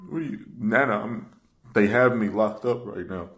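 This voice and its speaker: A man's voice: lowish voice, normal speed. A young man making prank phone calls in a slangy, repetitive, pushy way, recorded by a camera across a table. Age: young adult. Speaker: prank caller, video host. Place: small room indoors at a table.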